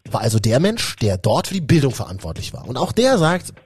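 A man's voice: medium pitch, wildly swooping pitch, fairly quick, breathy edge. A man from Berlin hosting a casual youth talk show, speaking colloquially and addressing viewers directly. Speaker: host.

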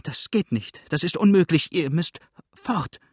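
A frightened, prim widow from an audiobook, acted out by a man, pitched well up. The delivery is breathless and flustered, full of emphatic, pleading protest. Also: very animated, moderate pace, slightly rough, dry recording, clean studio sound. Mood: shocked alarm. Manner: breathless, stammering, exclaiming protests. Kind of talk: audiobook character acting.

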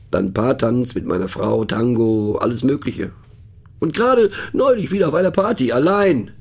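A man's voice: medium pitch, creaky, a little mellow, slightly rough, heavily acted. An actor playing a middle-aged male narrator in a radio play monologue, musing and mocking, thoughtful and hesitant, often turning to question the listener. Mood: musing, self-amused. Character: musing, mocking male narrator, rambling to fellow train passengers.

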